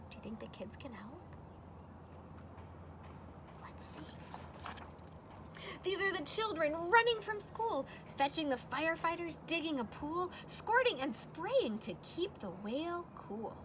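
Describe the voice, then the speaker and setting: A woman's voice: high voice, background noise. A woman reading a children's storybook aloud expressively, with dramatic emphasis on the rhymes and casual asides. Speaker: storybook reader.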